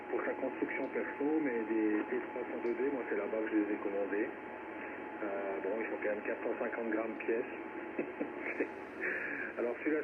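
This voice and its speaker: A man chatting casually as an amateur radio operator over a radio link, often repeating himself and adding tag words. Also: fairly low pitch. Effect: radio transmission. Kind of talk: casual radio contact chat. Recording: narrow, very noisy shortwave radio reception.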